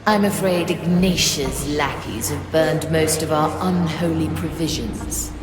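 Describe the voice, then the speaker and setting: A woman's voice: low-pitched, measured pace. An actress voicing a commanding, solemn female spirit of a ghost ship in a video game, with ghostly reverb. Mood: wry, solemn. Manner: solemn, authoritative. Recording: echoey long reverb over music.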